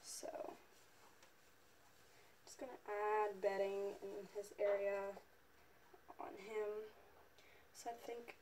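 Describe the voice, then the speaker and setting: A woman's voice: mid-range voice, flat delivery, slow pace, pauses often, a little mellow. A young teenage girl talking casually and tenderly in a home pet vlog, recorded on a phone. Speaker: vlogger and amateur animal rescuer. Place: indoors in a home living room and bedroom. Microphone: phone, at arm's length or propped nearby. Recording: noisy amateur phone recording.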